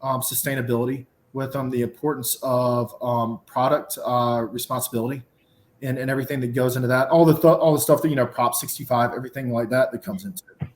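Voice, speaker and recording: A man's voice: mid-range voice, level tone, quick pace, roomy sound. A man with a Southern drawl, a podcast guest who runs his words together and fills with um and you know. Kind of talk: casual podcast conversation.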